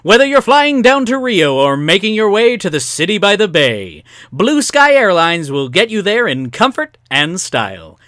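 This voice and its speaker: A man's voice: high voice, very animated, normal speed, hardly any pauses, bright, forceful tone, dry recording, clean studio sound, full character voice. A man playing the announcer of an old-time radio serial, narrating in a grandiose, suspenseful way. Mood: polished, selling.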